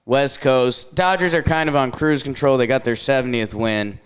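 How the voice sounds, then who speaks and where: A young man co-hosting a casual sports show, joking and swinging his voice up and down as he recaps games. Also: clean tone. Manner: jokey, animated, quick swings between high and low voice. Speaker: podcast co-host.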